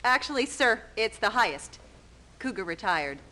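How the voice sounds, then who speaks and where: Bright, confident female Navy pilot. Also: medium pitch, very animated, normal speed. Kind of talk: acted game cutscene dialogue.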